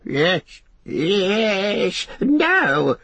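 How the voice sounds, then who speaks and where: A man voicing a wretched, cunning creature in a storybook reading, with a strained, raspy whine and fawning, tormented manner. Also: medium pitch, huge pitch swings, very slow, dry recording, highs cut off, heavily acted. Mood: agitated. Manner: hissing repetitions, whimpering, sudden shrieks. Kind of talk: dramatised audiobook character voice.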